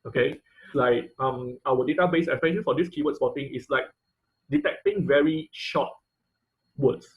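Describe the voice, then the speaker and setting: A man giving an informal live technical lesson as an instructor, speaking haltingly, repeating phrases and often checking in with "okay" and "right". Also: medium pitch, poor audio. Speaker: online class instructor.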